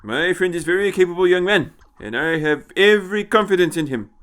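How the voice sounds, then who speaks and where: A man voicing an elderly, eccentric time traveller, haughty and impish, with a high, tremulous, querulous voice and a fussy manner. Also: expressive, a little fast, a bit bright. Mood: assured. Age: older. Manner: haughty, fussy, hesitant turns of phrase.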